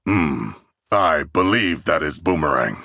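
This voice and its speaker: An actor playing a huge, earnest, literal-minded humanoid shark warrior in a video game, with a gravelly, husky voice and formal, grandiose phrasing. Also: male voice, very deep voice, expressive, a little slow, bright, forceful tone, no echo, clean studio sound, heavily acted. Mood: earnest, solemn.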